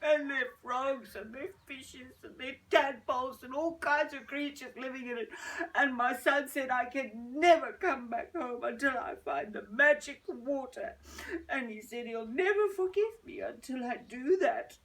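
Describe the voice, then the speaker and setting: A woman voicing a tearful, desperate old woman who pleads in a high, shaky voice. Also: normal speed, a bit bright, recorded from a distance, low-quality recording.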